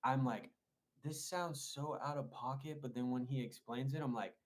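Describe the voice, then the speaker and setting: A man's voice: medium pitch, steady tone, normal speed. A man talking as a producer guest in a casual interview, rambling with many likes and restarts.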